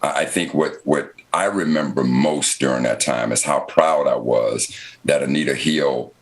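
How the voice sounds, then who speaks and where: Male, American, extremely low voice. Panel moderator, emphatic, oratorical, unscripted. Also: moderate pace. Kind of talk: unscripted political discussion.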